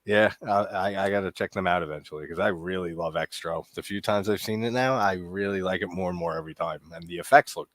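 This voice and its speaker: A man's voice: sits low, fast talker, hardly any pauses, fairly bright tone. A man in his thirties with a New York accent, chatting casually over a movie, laughing often and swearing freely. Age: 30s. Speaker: co-host of a movie watch party. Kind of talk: casual commentary chat.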